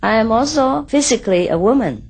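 A woman from Vietnam giving a spiritual talk in short, simple English phrases, pausing so an interpreter can translate. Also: a little high, animated, darkish tone, husky. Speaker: spiritual teacher.

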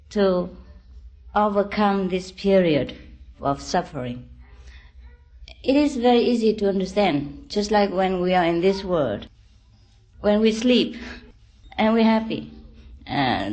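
Slow-speaking woman giving a spiritual discourse. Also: mid-range voice. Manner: deliberate, halting phrasing with gentle reassurance. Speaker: spiritual teacher.

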